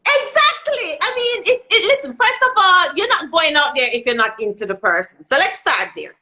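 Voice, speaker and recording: A woman's voice: big pitch swings, normal speed, sharp tone. A woman with a Jamaican accent on a phone video call, talking frankly about dating and sex in casual chat. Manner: frank and outspoken, rising in pitch when making a point. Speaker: guest on a live video chat. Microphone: phone held in hand.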